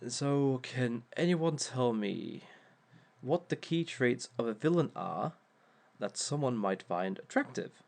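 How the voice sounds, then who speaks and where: A man playing a slow, gravelly, sardonic villain lecturer. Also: mid-range voice, very animated, a little mellow, full character voice. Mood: wry. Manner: slow, dry and sardonic, with small amused laughs. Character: suave, wry male villain lecturing a seminar. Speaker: villain instructor. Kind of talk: audio roleplay monologue.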